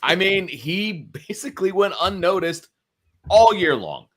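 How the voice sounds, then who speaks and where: A man's voice: high voice, big pitch swings, unhurried, a bit bright. A man casually talking football as a sports show co-host, opinionated and conversational, repeating phrases for emphasis.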